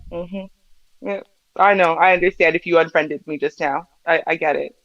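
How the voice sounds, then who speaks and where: A woman's voice: fairly low pitch, fairly even intonation, moderate pace, a bit bright, no echo. Regular guest on a livestream: a chatty Canadian woman who pokes fun at herself, dry lo-fi audio. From Canada, Jamaican family. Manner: chatty, self-mocking, laughing often. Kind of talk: casual livestream chat.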